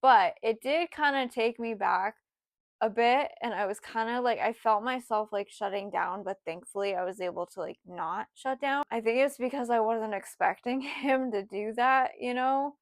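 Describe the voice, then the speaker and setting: Young woman, twenties, casual vlog storytelling, rambling with fillers, slightly high voice. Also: normal speed, no room sound, studio quality. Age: 20s. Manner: rambling, lots of "like" and "you know", voice drops soft at times. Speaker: vlogger.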